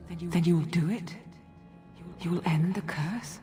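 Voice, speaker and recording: An actress voicing a weary, gentle female forest spirit in a fantasy game, solemn and pleading. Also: fairly low pitch, fairly even intonation, normal speed, soft background music, close to an everyday voice. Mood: anxious hope. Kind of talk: fantasy video game acting.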